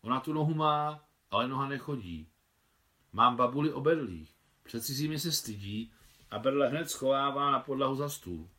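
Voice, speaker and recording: An eager, earnest little boy from an audiobook story, as a man puts him on, voiced noticeably higher than the reader's own voice with a bright, forceful edge. He talks shyly but openly, a small village kid asking for help. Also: expressive. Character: shy blond young village boy.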